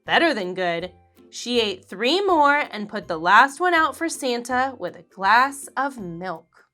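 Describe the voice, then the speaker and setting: A woman reading a children's picture book aloud, slowly and expressively. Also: fairly high pitch, faint music behind. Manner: slow, expressive, animated character voices. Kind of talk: read-aloud storybook narration.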